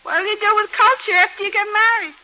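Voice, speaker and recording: An actress playing a practical, wisecracking secretary, brisk and deadpan, in 1950s radio style. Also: female voice, extremely high pitch. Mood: helpful, dry. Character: wry, practical, shrill female office secretary. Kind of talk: 1950s radio comedy-drama acting. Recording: muffled 1950s radio recording.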